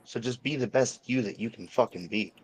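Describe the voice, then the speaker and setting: A man's voice: mid-range voice, a little fast, husky, rough recording. Male co-host for casual conspiracy chat, insistent, rambling and foul-mouthed. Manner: profane, insistent, repeats himself. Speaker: talk show co-host.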